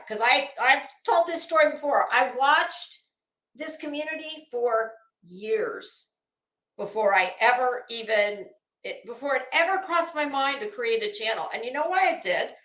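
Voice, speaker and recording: Casual livestream host: American woman, middle-aged, high pitch, unhurried delivery with heavily stressed words, blunt and sweary. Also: expressive, fairly bright tone. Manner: blunt, opinionated, profane. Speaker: YouTube commentary host. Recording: roomy, echoey room sound.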